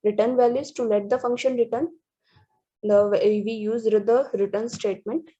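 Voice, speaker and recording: A woman walks through programming slides in a halting way, restarting words and using many fillers. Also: on the high side, fairly even intonation, warm tone. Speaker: student presenter. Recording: dull lo-fi recording.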